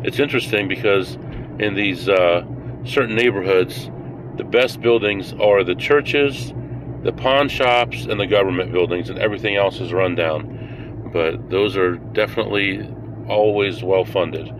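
Guy rambling to his viewers while driving, lowish voice, loud road noise all over it. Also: measured pace. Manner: rambling, chatty, thinks out loud. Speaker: host. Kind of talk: casual driving vlog. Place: inside a moving car.

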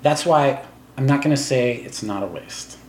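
Male podcast guest, 30s, Chinese first language; loose, rambling delivery, mid pitch, echoey room. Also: moderate pace, a little mellow, a little hiss or hum. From Taiwan. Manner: rambling, filler-heavy, laughs between thoughts. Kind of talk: casual chat.

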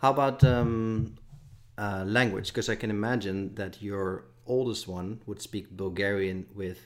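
A man's voice: lowish voice, steady tone, moderate pace. A man hosting a casual podcast interview, asking long, rambling questions in a chatty way. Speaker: podcast host.

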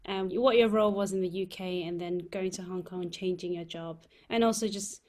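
A woman's voice: fairly low pitch, steady tone, fairly quick, a little mellow. A woman interviewing a guest, asking careful follow-up questions and giving frequent short acknowledgments. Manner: attentive, frequent backchannel. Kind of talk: conversational interview.

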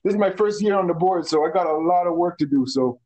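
A young man from the Bahamas, a DJ, chatting on a casual call-in podcast. His voice sits fairly high for a man, and he talks in a hyped, slangy way, full of shout-outs and street talk. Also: fast talker, low-quality recording. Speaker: DJ and carnival spokesperson guest.

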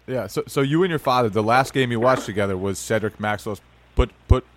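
A man hosting a casual podcast interview, asking questions in a halting way and repeating words as he starts. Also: medium pitch, dry recording.

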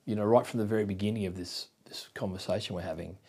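A middle-aged man hosting an interview, chatting in a friendly, rambling way with frequent halting restarts. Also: fairly low pitch, fairly even intonation, warm tone, husky. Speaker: interview host. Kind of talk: casual interview conversation.

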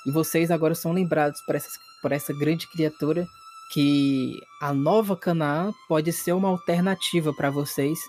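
A man's voice: fairly high pitch, steady tone, moderate pace, darkish tone. A man acts as game master, narrating a horror tabletop game. The delivery is dramatic, with faint music underneath his voice.

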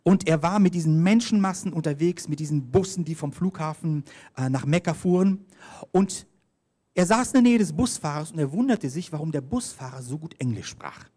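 A man's voice: a little high, lively intonation, a little fast, mellow. A man preaching a sermon, telling mission stories, reading scripture aloud and calling for the next slide. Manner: storytelling, reads scripture aloud, directs slides.

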